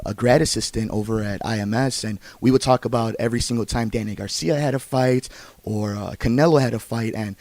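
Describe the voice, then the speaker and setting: A man's voice: mid-range voice, a little mellow, no echo. A man hosting a sports podcast, reading promos and interviewing guests about fight sports.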